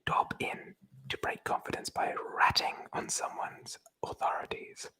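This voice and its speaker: Someone whispering in a breathy voice, reading aloud from a book in an ASMR style with chatty, informal commentary. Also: measured pace, sharp tone. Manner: chatty, informal, swears casually. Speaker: presenter reading aloud from a tabletop RPG book.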